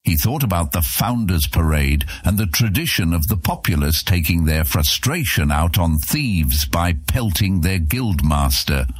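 Male audiobook narrator for scripted fantasy. Bottom-register pitch, some rasp, no room sound. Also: very animated, unhurried, few pauses, dry recording.

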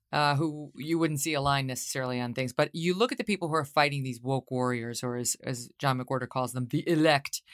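A woman hosting a podcast interview who speaks forcefully and stresses her points by repeating them. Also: low voice, no room sound, clean studio sound. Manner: forceful, emphatic repetition. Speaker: podcast host.

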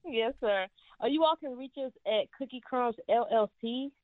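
A woman's voice: on the high side, moderate pace, soft background music. A twenty-one-year-old woman calling in as a guest, polite and respectful. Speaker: guest, family business owner. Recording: tinny phone line.

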